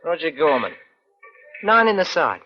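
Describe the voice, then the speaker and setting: A man's voice: a little high, very animated, moderate pace, pushed and bright. A man playing a breezy young hoodlum, casual and clipped, in an old radio crime drama. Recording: muffled old radio recording, music behind.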